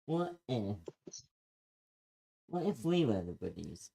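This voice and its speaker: Someone reading a shy little creature girl, earnest, hesitant, really mellow muffled voice, table read. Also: medium pitch, big pitch swings, slow, gravelly, husky, full character voice. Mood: uneasy, doubtful. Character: young creature schoolgirl, timid, earnest, conscience-stricken.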